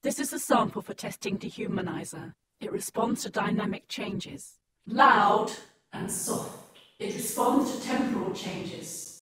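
A woman reading a scripted test sample in short deliberate statements, processed through a robotic vocoder or ring modulator effect. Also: mid-range voice, background noise.

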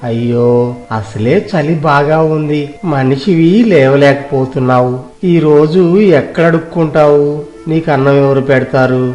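A man's voice: mid-range voice, fairly even intonation, darkish tone, natural voice. A man playing a kind-hearted young village cloth pedlar in an audio moral story. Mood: concerned. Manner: sing-song drawn-out street calls when hawking. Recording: echoey room sound, music behind.

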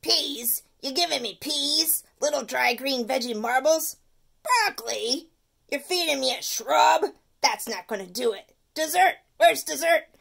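A woman voicing a greedy, wisecracking male sweet tooth in a storybook, loud and pushy. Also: sits high, lively intonation, measured pace, creaky, pitched above the performer's own voice, heavily acted.

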